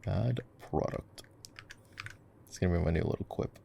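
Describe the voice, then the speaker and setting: A man's voice: low-pitched, normal speed, creaky, dark tone, a little hiss or hum. A man chatting casually in an ASMR-style gaming roleplay, laid-back, encouraging and teasing as he coaches the listener. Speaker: voice actor playing a gamer boyfriend, coaching a game.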